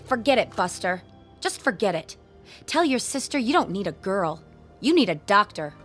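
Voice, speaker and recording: A woman's voice: medium pitch, very animated, moderate pace, a bit bright, faint music behind. A woman acting in a radio drama as a forward, teasing, impatient young woman with a curt edge. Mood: indignant.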